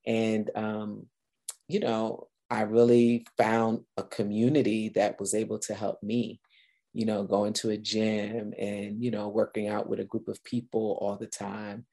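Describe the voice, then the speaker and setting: A woman's voice: a bass voice, little pitch movement, a little slow, dark tone. A middle-aged woman from Guyana hosting a podcast, talking earnestly and upbeat in a casual solo chat with some fillers. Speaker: podcast host.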